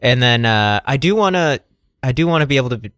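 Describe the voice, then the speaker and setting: A man chatting casually as a podcast host, halting and self-deprecating. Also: mid-range voice, lively intonation, a bit bright, no room sound, studio quality. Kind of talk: casual chat.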